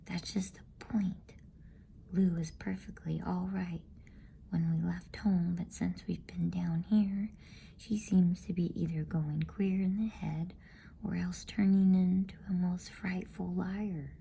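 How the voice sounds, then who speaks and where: A woman's voice: lowish voice, fairly even intonation, slow pace, creaky, mellow, slightly rough, exaggerated voice. A woman voicing a stern, protective older brother from a storybook, scolding in a firm, reproachful whisper.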